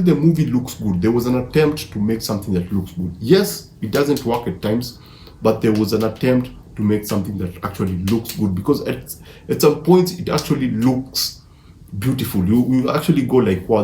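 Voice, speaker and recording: A man's voice: medium pitch, animated, fairly quick, dark tone. Man reviewing films, echoey room. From Africa. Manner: enthusiastic, emphatic, repeats words mid-sentence. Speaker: film reviewer. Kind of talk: casual movie review. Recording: echoey room, faint background noise.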